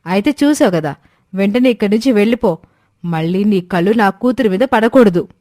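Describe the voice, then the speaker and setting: A woman's voice: medium pitch, lively intonation, a little fast, a little mellow, no room sound, clean studio sound, natural voice. A woman voicing an angry, suspicious young mother who is fiercely possessive and accusing, in a storybook drama. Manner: accusing, confrontational.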